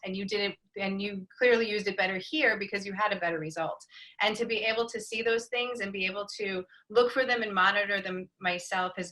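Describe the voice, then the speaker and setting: A woman's voice: medium pitch, sharp tone, rough recording. A woman panelist talking casually, with a candid and self-deprecating way of speaking.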